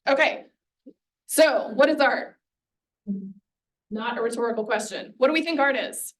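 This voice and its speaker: A woman leading a classroom seminar, upbeat and encouraging, with quick asides. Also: high voice, far from the mic, poor audio. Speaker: seminar leader.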